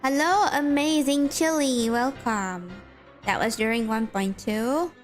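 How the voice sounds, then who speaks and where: A young Malaysian-accented woman with a slightly high voice chats with her stream viewers as she plays. She reacts to the game, greets people in chat and reads game lines aloud. Soft game music plays underneath her voice. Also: measured pace.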